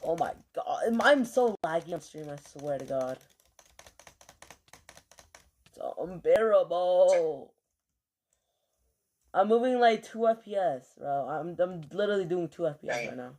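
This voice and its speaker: Guy streamer rambling to chat, filler words everywhere, soft dark voice, keys clacking. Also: high-pitched, animated, a little slow, pauses often. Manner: rambling, filler-heavy, swears offhand. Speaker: game streamer. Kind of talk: casual livestream chatter.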